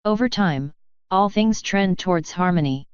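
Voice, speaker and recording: A woman's voice: fairly low pitch, moderate pace, clean tone, dry recording, highs cut off, lightly acted. A woman voicing a knowledgeable female unicorn mage who teaches patiently in an audiobook story. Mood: instructive.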